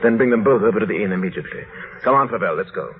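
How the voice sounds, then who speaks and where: Noisy, tinny vintage radio play. A man plays a proud gentleman husband in a low, quick, clipped voice, brusque and protective. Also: expressive, lightly acted. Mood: curt, controlled. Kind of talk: 1940s radio drama acting. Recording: tinny, hissy old radio broadcast recording.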